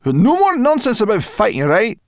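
A man voicing a small, anxious brownie girl who scolds in a squeaky, indignant way. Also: huge pitch swings, normal speed, dry recording, studio quality, full character voice. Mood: grumbling.

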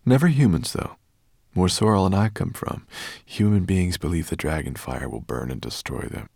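A man voicing a gentle, thoughtful dragon in an audiobook, speaking in a calm, measured manner. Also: low voice, creaky, no echo, clean studio sound. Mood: calm, reflective. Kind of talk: audiobook character voice.

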